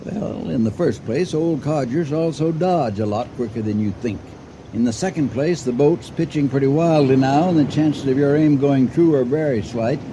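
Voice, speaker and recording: An actor playing a calm, shrewd elderly farmer in an old-time radio drama, speaking in a measured, courteous but firm way. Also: male voice, medium pitch, noisy background, lightly acted. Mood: firm, threatening. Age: older. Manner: measured, courteous, dryly admonishing.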